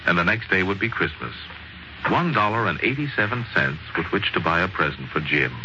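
A man narrating a story in dramatic 1940s radio style, with a theatrical, wry, measured delivery. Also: low-pitched, expressive. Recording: muffled, noisy 1940s radio recording.

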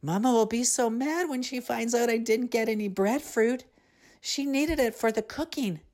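A woman's voice: a little high, normal speed, darkish tone, voice pushed up, close to an everyday voice. A woman voicing an impulsive, easily upset young girl in a storybook tale, in a plaintive, pleading way. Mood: tearful, pleading. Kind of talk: storybook character voice.

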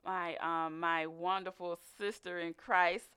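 A woman's voice: lowish voice, fairly even intonation, slow, sharp tone, clear, resonant tone. A woman hosting a faith radio interview, encouraging her guest with frequent amens and affirmations. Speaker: radio show host.

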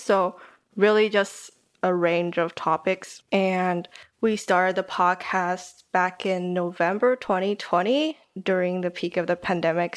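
A young woman from Taiwan, a design student who co-hosts her own podcast, chatting as a guest with many fillers and frequent laughs. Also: lowish voice. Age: young adult. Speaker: podcast host, guest here. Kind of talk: casual chat.

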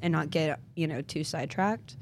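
A woman's voice: low-pitched, moderate pace, creaky, a little hiss or hum. A woman in her twenties hosting a podcast, chatting casually and giggling often with lots of filler words. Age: 20s. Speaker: podcast host. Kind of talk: casual chat.

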